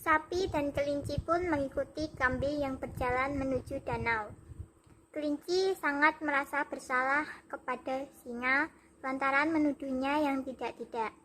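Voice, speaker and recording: High-voiced young woman narrating. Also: normal speed, fairly bright tone, slight background noise, low-quality recording. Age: young adult. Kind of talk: scripted fable narration.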